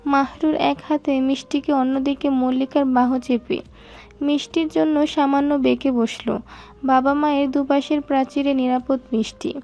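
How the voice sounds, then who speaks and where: High, even-toned woman narrating a story. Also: moderate pace.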